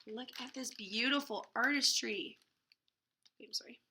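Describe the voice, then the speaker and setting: Female storybook reader, higher-pitched and bright, teacherly, expressive delivery with casual asides for young listeners. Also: measured pace, breathy edge. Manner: dramatic emphasis, gasps and exclamations. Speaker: children's picture book reader and teacher. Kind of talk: expressive storybook read-aloud with casual chatty asides to children.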